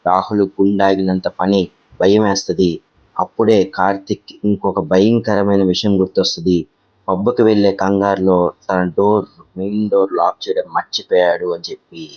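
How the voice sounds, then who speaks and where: A man's voice: deep voice, flat delivery, normal speed, darkish tone, no echo, muffled recording. A man narrating a horror story as the host of a storytelling channel.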